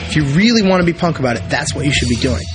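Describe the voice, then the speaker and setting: A man's voice: a little high, lively intonation, racing, over music. A man giving an emphatic, opinionated interview in short punchy clauses. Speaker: documentary interviewee. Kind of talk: interview talk.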